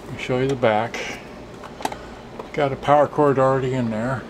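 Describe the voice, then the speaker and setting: A man's voice: medium pitch, steady tone, normal speed, background noise. A man muttering in short fragments through a casual, off-the-cuff demonstration, recorded on a camcorder's own microphone. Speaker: vlogger showing vintage electronics. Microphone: handheld camcorder's built-in microphone.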